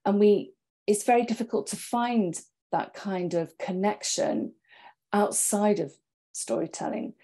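A woman's voice: mid-range voice, unhurried, warm tone. A middle-aged woman reflecting as a panelist on a video call from her home study. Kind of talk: reflective discussion. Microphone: wired earphones on a video call.

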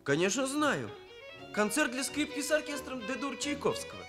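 Radio play role: self-improving young male hairdresser, high voice, animated pitch, bookish and proper. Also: moderate pace. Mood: matter-of-fact. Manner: precise, bookish, formal phrasing. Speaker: radio drama actor. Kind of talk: Soviet radio play acting. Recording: radio play, violin music behind.

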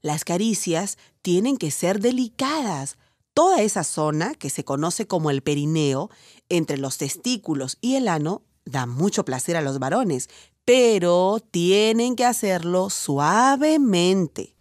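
A low-voiced female radio doctor with very lively intonation. She hosts an advice drama, explaining frankly and teasing playfully, recorded close and dry. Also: a little slow, dry recording. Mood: playful, instructive. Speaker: radio advice show host, sex doctor.

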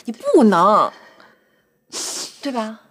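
A coaxing daughter. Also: female voice, on the high side, wildly swooping pitch, fairly bright tone, exaggerated voice. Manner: pleading, fast, soothing. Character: eager, coaxing young daughter. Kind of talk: TV drama acting.

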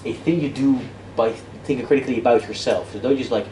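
A man's voice: medium pitch, fast talker, mellow. A man in his thirties whose first language is Dutch, giving a casual, self-deprecating debate workshop lecture with lots of restarts and fillers while he walks and gestures in a classroom. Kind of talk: interactive lecture. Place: classroom with projector screen, indoors. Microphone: far camera. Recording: echoey classroom from far off, noisy.

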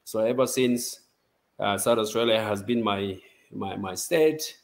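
Brief: young South Sudan-born man, guest on a video call, relaxed and chatty. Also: fairly low pitch, unhurried, creaky. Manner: relaxed, chatty, laughs easily. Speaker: interview guest. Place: home office.

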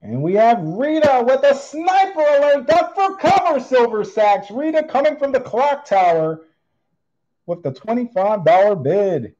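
Male online auctioneer, slow-paced and mellow, theatrical singsong bid calls, slightly muffled recording. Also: very high voice, sing-song. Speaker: online auctioneer, channel host. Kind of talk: live online coin auction calling. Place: indoors at a tabletop display.

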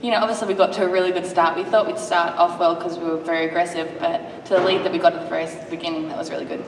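A young adult woman, a college basketball player, giving quick, upbeat answers that lean on "you know, obviously.". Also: on the low side, level tone. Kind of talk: press conference answers. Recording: echoey hall, distant mic, room noise.

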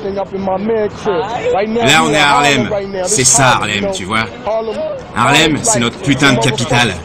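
A man's voice: mid-range voice, big pitch swings, a little slow, over a noisy crowd. A man reading a casual, slangy voice-over translation of a young interviewee.

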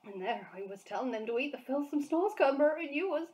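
A woman's voice: high voice, expressive, brisk, darkish tone, pitched above the performer's own voice. A woman voicing a kind, dignified male giant who speaks earnestly and gets his words muddled. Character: gentle kindly big male giant, dignified.

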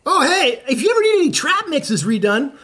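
An actor playing a washed-up, breezy, shameless rock star in an audio comedy, rambling and flippant. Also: male voice, very high voice, big pitch swings, fairly quick, pushed and bright, heavily acted. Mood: jaunty. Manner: rambling, chuckling, slangy and flippant.

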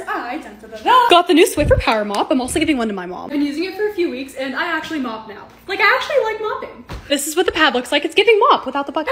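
A young woman with a slightly raspy voice, narrating her home projects in a chatty, excitable vlog voice-over. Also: sits high, slight background noise. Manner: chatty, excitable, quick asides. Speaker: lifestyle vlogger.

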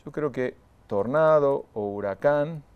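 A man's voice: mid-range voice, slow, smooth, dull audio. A man, leading a live online training. He explains patiently, repeats the key points and speaks straight to the viewers. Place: studio in front of a graphic backdrop.

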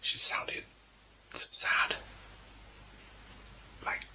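A man whispering an uneasy, halting first-person commentary in a house at night, sounding spooked and nervous. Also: pushed and bright. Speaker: narrator recording himself at home at night. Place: indoors in a house.

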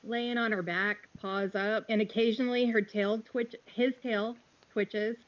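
A woman's voice: mid-range voice, steady tone, unhurried. A woman casually describing her character's actions as a player in an online tabletop game. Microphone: video call. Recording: dull, band-limited video call audio.

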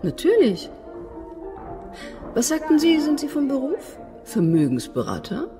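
Spöttische Agenturchefin, sehr lebhafte Intonation. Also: female voice, high voice, huge pitch swings, unhurried, music in the background, heavily acted. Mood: teasing. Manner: wry, chatty, ironic. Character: worldly, wry, self-assured female model agency boss. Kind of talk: German radio play acting.

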